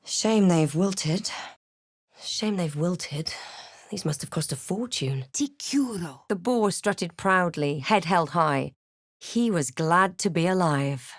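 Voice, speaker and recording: A woman voicing a composed, snarky adventurer and spellcaster in a fantasy video game, with a deliberate, dramatic delivery. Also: fairly low pitch, lively intonation, no echo, clean studio sound. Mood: commanding incantation. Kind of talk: fantasy game voice lines and scripted narration.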